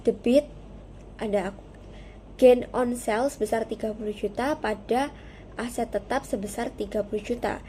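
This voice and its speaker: A young woman with a mellow, fairly high voice. She delivers a prepared student presentation, formal and methodical, moving step by step. Some background noise sits behind her. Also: normal speed, long pauses. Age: young adult.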